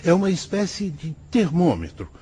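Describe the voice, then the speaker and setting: An actor playing a patient, learned priest who explains things didactically in a radio soap opera, with a husky voice. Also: male voice, high-pitched, huge pitch swings, moderate pace, dark tone, exaggerated voice. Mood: patient, instructive. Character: patient, learned priest mentor in the spirit world.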